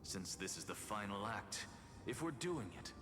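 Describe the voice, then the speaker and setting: An actor playing a calm, wry, scheming male alchemist genius in a game. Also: on the low side, moderate pace. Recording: game audio with reverb and music.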